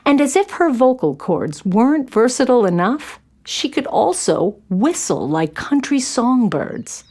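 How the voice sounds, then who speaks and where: A woman's voice: fairly high pitch, very animated, measured pace, a little mellow. A woman narrating a scripted story for children.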